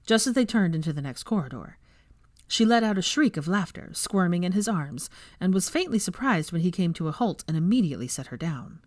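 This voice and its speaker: A woman reading fan fiction aloud as narrator, in expressive scripted storytelling. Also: on the low side, fairly quick, no room sound, studio quality.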